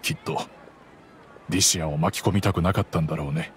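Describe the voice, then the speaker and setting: An actor playing a middle-aged, fatherly master of a wealthy house in an anime game, measured, gentle and remorseful. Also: low voice, fairly even intonation, a little hiss or hum, close to an everyday voice. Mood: grave, regretful. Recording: soft music behind the voice.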